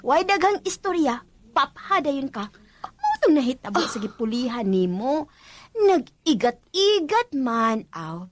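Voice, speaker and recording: Gossipy, admonishing head maid, Cebuano Filipino accent, high and very lively, dry studio sound, radio drama. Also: female voice, unhurried, no echo. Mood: stern warning. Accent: Filipino, Cebuano-speaking. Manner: animated, chatty, admonishing. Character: bossy, gossipy senior housemaid showing a newcomer around.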